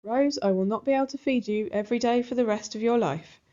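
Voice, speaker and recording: A woman's voice: on the high side, fast talker, mellow, lightly acted. Mother rat, read by a woman, firm, explaining, children's storybook, slightly dull recording.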